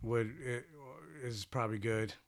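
A man co-hosting a casual podcast, chatting in a relaxed, offhand way. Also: on the low side, unhurried, crowd noise. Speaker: podcast co-host.

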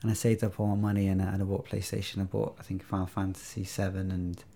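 Gravelly, mellow-voiced man in conversation. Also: sits low, flat delivery, fast talker. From Iraq. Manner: reflective, many fillers and restarts. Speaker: podcast guest, video game maker. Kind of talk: casual interview chat.

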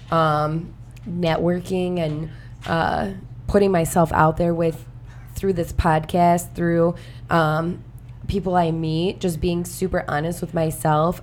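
A woman's voice: lowish voice, slight background noise. A young woman hosting a podcast, chatty and casual, with many fillers. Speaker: podcast host.

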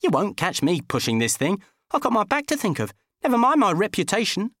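Guy doing a dopey sulky best mate, high whiny voice all over the place, cocky, comic audiobook. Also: huge pitch swings, a bit bright, no room sound, studio quality, exaggerated voice. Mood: whining. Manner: whining, protesting, quick to take offence. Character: sulky, indignant, dim young male best mate, cocky.

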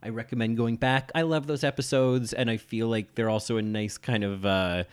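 A man's voice: mid-range voice, steady tone, moderate pace, clear, resonant tone. A man in his thirties hosting a solo podcast, chatting in a rambling way with lots of ums and restarts. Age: 30s. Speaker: podcast host. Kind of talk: casual solo podcast chat.

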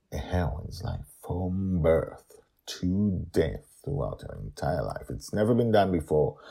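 A man narrating a serial story podcast as its author, with emphatic, deliberate phrasing. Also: extremely low pitch, gravelly, dark tone, slightly rough.

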